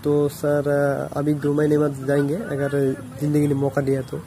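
A man's voice: on the high side, flat delivery, moderate pace, mellow. A young man from Assam, India, recording a hesitant fan message outdoors on his phone. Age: young adult. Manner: hesitant, repeating himself. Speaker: fan sending a video message. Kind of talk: selfie video message. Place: outdoors under a shed roof. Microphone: phone camera at arm's length. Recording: phone video with outdoor noise.

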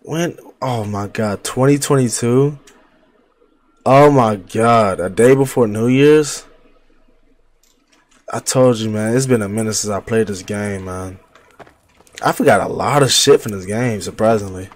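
A young man talking into a headset mic at his home gaming setup, rambling through a survival game in loose slang. He is getting over a cold, so his voice sits a little deeper and rougher than usual. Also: a little slow, long pauses. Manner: loose slang-heavy chatter, trash-talking enemies. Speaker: livestreamer. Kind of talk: casual gameplay commentary.

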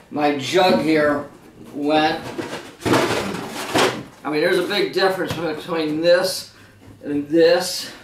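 A middle-aged man with a slightly high voice talks through a product demonstration. He rambles along in a plain, folksy way, like an informal home video. The sound is roomy and echoey, picked up by a camera some distance from him. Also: a bit bright. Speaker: waterfall materials seller and presenter. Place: living room indoors. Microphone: camera a few metres away.

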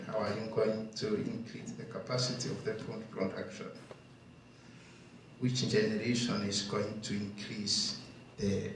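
A man with a Kenyan accent speaking as a farmer on a discussion panel, slow and thoughtful, pausing to find his words, on a handheld mic in a large wooden barn hall. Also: lowish voice, slight background noise. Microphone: handheld mic, far camera. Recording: echoey barn from far off.

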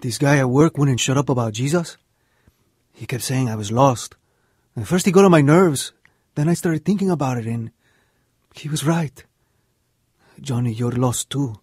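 Earnest young brother, raspy-edged, dry sound, radio drama. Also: male voice, mid-range voice, lively intonation, normal speed, long pauses, darkish tone, dry recording.

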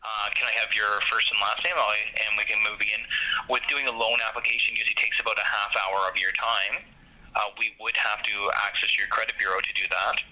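A man's voice: lowish voice, flat delivery, a little fast, bright, forceful tone. A man working as a bank call agent, patient and polite, speaking over a telephone line. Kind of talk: customer service phone talk.

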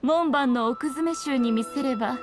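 A woman's voice: high-pitched, moderate pace, music in the background, close to an everyday voice. A woman voicing a sly, haughty fox shrine maiden in a Japanese game, teasing in languid, archaic speech. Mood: amused. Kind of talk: Japanese anime game voice acting.

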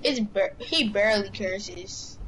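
A woman's voice: medium pitch, a bit bright, a little hiss or hum, low-quality recording. Bossy bantering woman gamer. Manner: bossy, bantering, quick to accuse. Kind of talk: casual gaming chat.

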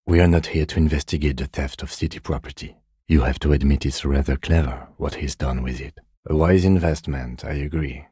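A man playing a reserved, principled police detective in a video game, with a measured, formal, dry-witted delivery. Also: extremely low pitch, breathy edge, dry recording. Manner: measured, deadpan, formal and understated. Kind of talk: scripted video game dialogue lines.

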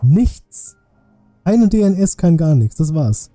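Male streamer, very dark mellow voice, casual game commentary, thinking aloud, music faintly behind. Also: fairly high pitch, sing-song, slow pace. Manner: thinks aloud, chatty asides. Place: indoor room, streaming setup.

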